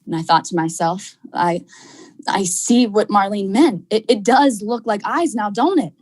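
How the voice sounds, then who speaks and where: A woman's voice: mid-range voice, a little slow, darkish tone, lightly acted. A young woman acting a tough, dismissive male crook in vintage radio drama style, with folksy slangy delivery. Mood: wry narrating. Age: young adult. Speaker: student actor.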